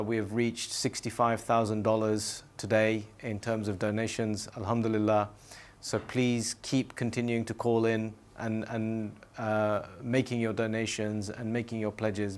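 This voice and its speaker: Even-toned telethon host, polite. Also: male voice, lowish voice. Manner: polite, welcoming, hesitant fillers. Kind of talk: live telethon hosting. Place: studio.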